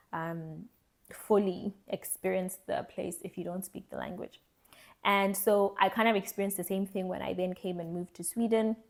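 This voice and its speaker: A young woman from South Africa speaks to the camera in an earnest, reflective vlog, at a middle pitch. She thinks aloud, pausing and restarting as she weighs her words. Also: a little mellow. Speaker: vlogger. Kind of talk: candid reflective monologue.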